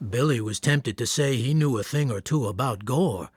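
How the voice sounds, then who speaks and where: A man narrating a radio drama from a script in a measured, wry, literary way. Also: medium pitch, a little mellow, breathy edge, lightly acted.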